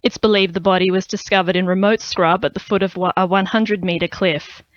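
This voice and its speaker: A woman's voice: medium pitch, steady tone, fairly quick, no room sound. A woman with an Australian accent reading a scripted news bulletin on the radio. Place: dry radio studio. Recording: slightly dull, lo-fi broadcast audio.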